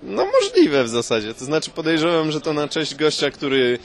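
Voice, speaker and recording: A man's voice: a little high, very animated, a little fast. A man improvising comic stories as a mock expert guest, rambling in casual, slangy language full of swearing. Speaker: comic guest posing as a food history expert.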